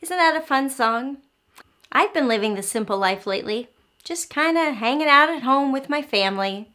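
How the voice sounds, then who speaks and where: A woman hosting a read-aloud for young children, animated and encouraging, explaining simply and asking the listener questions. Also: high voice, moderate pace. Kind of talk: children's storytime, speaking directly to young listeners.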